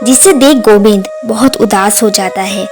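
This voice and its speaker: A woman's voice: medium pitch, a little slow, music in the background. A woman narrator reading a scripted moral story for a cartoon.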